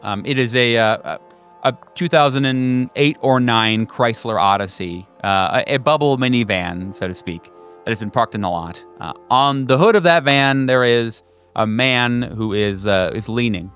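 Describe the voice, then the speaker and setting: A man narrating an improvised tabletop horror game as game master, halting and conversational in his descriptions. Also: medium pitch, a bit bright, clear, resonant tone, soft background music.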